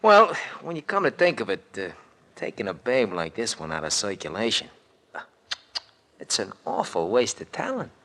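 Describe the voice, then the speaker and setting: Lively, slangy American sidekick. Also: male voice, on the low side, moderate pace. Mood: wry regret. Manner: folksy, slangy, hesitant. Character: easygoing rough-edged male sidekick, streetwise, sentimental. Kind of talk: 1940s-50s radio drama acting. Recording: vintage radio recording.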